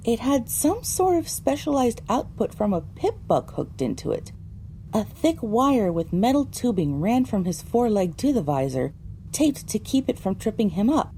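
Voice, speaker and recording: A woman's voice: a little high, very animated, moderate pace, mellow, a little hiss or hum, rough recording. A woman delivering scripted, first-person narration for an audio drama.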